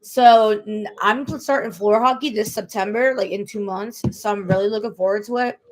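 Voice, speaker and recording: A woman in her late twenties talks casually to the camera in a personal vlog. She rambles and circles back, repeats the same points and restarts her sentences. Her pitch sits in the middle range. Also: steady tone, normal speed, background noise. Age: 20s. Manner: rambling, repeats herself, many fillers and restarts. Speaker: vlogger.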